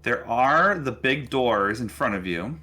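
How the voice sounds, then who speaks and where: A man narrating a tabletop game casually as game master, halting and thinking aloud as he goes. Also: medium pitch, expressive, bright, forceful tone, faint music behind.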